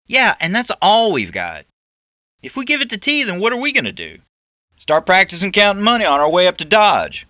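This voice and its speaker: A man's voice: high-pitched, wildly swooping pitch, brisk, pushed and bright, no echo, studio quality, pitched above the performer's own voice, full character voice. A man voicing a brash, pushy conman who poses as a preacher, cocky and commanding in an audiobook story. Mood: indignant.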